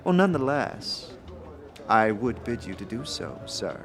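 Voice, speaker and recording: A man's voice: medium pitch, big pitch swings, a little slow. A man voicing a stern, haughty older noblewoman with formal, imperious speech in a fantasy audio drama. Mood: softened, gracious. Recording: music under the voice.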